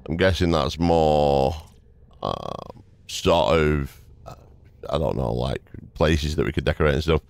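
Deep, smooth-voiced male gamer. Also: expressive, unhurried, darkish tone. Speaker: gaming video host. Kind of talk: casual game playthrough commentary. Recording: close dry mic, game music behind.

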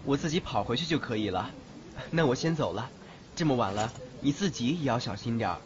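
A male actor playing a brash, fiercely protective teenage boy exorcist in an audio drama, expressive and dramatic. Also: mid-range voice, a little fast, dull audio, noisy background, lightly acted. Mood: gentle. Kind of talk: Chinese audio drama acting.

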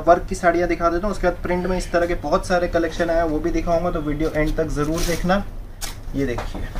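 A young man selling sarees wholesale in a shop, running a brisk sales pitch and repeating the prices in English. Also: slight background noise. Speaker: saree seller. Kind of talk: wholesale product showcase. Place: indoor saree shop floor.

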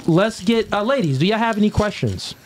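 A man hosting a live podcast, teasing his guests in casual banter from a couch in a studio, into a mic on a boom arm. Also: expressive, fairly quick, a little hiss or hum. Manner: teasing, laughs often and gets loud when amused. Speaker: podcast host.

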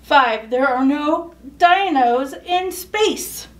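Young woman doing storytime for kids, really high voice, takes it slow, explains stuff. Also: lively intonation, a bit bright, far from the mic. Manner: explanatory, asks questions and answers them. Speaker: storytime reader. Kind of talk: children's picture-book read-aloud. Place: indoors in front of a photo backdrop.

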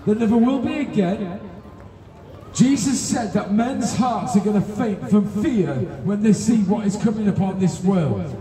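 A middle-aged man preaching an open-air sermon through a loudspeaker, projecting emphatically phrase by phrase. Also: high voice, unhurried. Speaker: street preacher. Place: outdoors on a busy pedestrian shopping street. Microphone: handheld PA microphone, filmed from several metres. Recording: echoing distant loudspeaker with street crowd.

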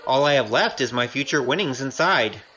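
An actor playing a cheeky, roguish young gambler with a wry manner, in an audio drama. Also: male voice, medium pitch, moderate pace, faint music behind. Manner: animated, wry.

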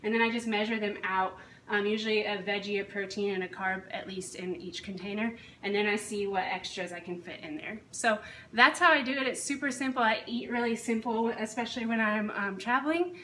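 A woman's voice: medium pitch, brisk, a bit bright. A woman in her thirties, a fitness coach, talking casually and matter-of-factly to camera as she explains her routine in a home kitchen. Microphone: camera a few feet away. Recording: echoey kitchen, distant mic, faint hiss.

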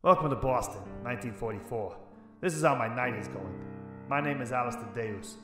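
A man plays a bitter, world-weary private investigator from a 1940s noir radio serial. His delivery is tough and wry at a middling pitch. The sound is roomy, with music underneath. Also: a little fast, fairly bright tone, close to an everyday voice. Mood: wry, brooding. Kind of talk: noir radio drama acting and narration.

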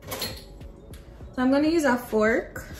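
A woman's voice: high voice, slow, soft background music. A woman in her twenties with a Jamaican accent, chatting casually in informal, Creole-tinged phrasing as she films a cooking vlog in a home kitchen. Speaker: cooking vlogger. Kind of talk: casual chat while cooking.

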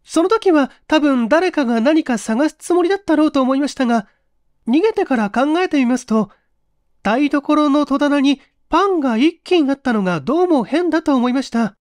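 A woman acts out an anxious young woman under questioning in a mystery story. Her voice sits high, with polite, pleading, feminine phrasing. The recording is close and dry. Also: animated, moderate pace, a little mellow, no echo. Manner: polite, feminine, hesitant old-fashioned phrasing.

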